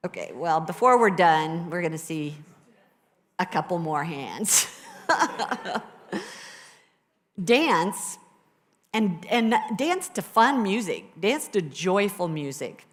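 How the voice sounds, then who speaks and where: A woman minister with a low voice, preaching a sermon and leading a guided meditation in a conversational, encouraging way to a live congregation. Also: sing-song, takes it slowly, pauses often. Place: church sanctuary with a live congregation. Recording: live church recording with long reverb.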